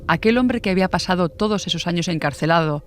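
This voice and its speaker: A woman's voice: fairly low pitch, fast, slight background noise. A woman with a Spain accent narrates a true crime podcast. She reads a prepared script, telling it conversationally as a journalist presenting a case.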